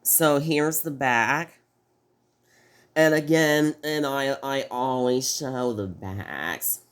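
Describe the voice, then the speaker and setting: Slow-talking male reviewer, sick and slightly off-sounding, rambling and halting. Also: medium pitch, fairly bright tone. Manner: halting, rambling, frequent restarts. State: sick, voice a little off. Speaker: toy review host. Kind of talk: casual video review.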